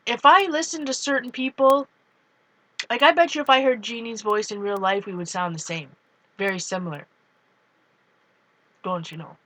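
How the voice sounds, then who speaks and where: A woman with a light Canadian accent, shaded by time spent in Minnesota, chats loosely to her viewers. Her voice is bright and forward, and she wanders from one thought to the next while showing her journals from home. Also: medium pitch, low-quality recording. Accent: Canadian with a Minnesota tinge. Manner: rambling, chatty, talks to viewers. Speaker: art journal vlogger. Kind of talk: casual chat while flipping through art journals. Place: home, on a couch.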